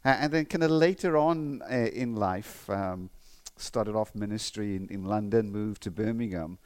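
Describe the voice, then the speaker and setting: A middle-aged man with a faded Welsh accent, preaching a sermon in a relaxed, conversational way, mixing personal stories with Bible reading. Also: fairly low pitch, moderate pace. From Wales. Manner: conversational, with frequent restarts and fillers.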